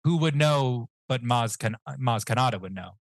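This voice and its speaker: A man's voice: mid-range voice, expressive, normal speed, no room sound, studio quality. A man, a guest on a podcast, telling a rambling, jokey story in casual chat with self-mocking asides. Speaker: guest comic writer.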